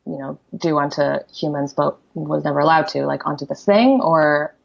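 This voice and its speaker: A woman's voice: low voice, moderate pace, vocal fry, muffled recording. A poet talking casually in an interview, her first language Korean, chatty and full of "like" fillers and restarts. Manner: chatty, many "like" fillers, restarts, laughs easily. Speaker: poet, guest. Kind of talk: casual conversation.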